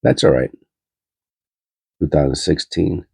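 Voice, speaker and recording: A man's voice: a bass voice, slow pace, gravelly, warm tone, husky, dry recording, studio quality. A man with a stuffy, nasal cold, hosting his coin-hunting channel and rambling aloud with many fillers as he sorts pennies. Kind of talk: casual coin roll hunting commentary.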